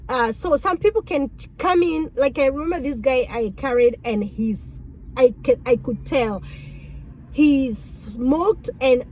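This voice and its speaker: A woman with a Kenyan accent, whose first language is Kikuyu, telling stories about being a rideshare driver in an animated, exclamatory way. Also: sits high, measured pace, a little hiss or hum, poor audio. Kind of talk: casual storytelling vlog.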